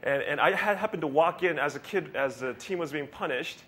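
A man's voice: on the high side, fairly quick, a bit bright. A male pastor giving a casual, rambling sermon, joking about himself and laughing often. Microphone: handheld or stand mic with feedback.

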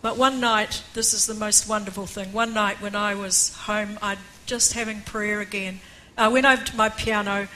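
An older woman preaching a personal testimony in an earnest way, with a croaky voice, reading a passage aloud. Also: mid-range voice, fairly even intonation, normal speed, bright, forceful tone, a little hiss or hum, low-quality recording. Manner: earnest, reads aloud with rising emphasis. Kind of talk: testimony sermon.

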